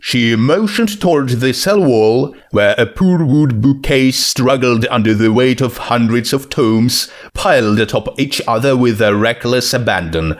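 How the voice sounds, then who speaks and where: A man's voice: medium pitch, a little slow, hardly any pauses. A man reading a story aloud as narrator, in scripted narration. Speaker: narrator reading fan fiction.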